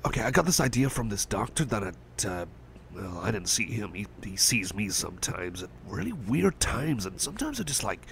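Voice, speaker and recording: A man speaking a gentle ASMR roleplay as a caring cyborg friend, rambling and stammering, reassuring and soft. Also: fairly low pitch, big pitch swings, moderate pace, vocal fry, a little hiss or hum.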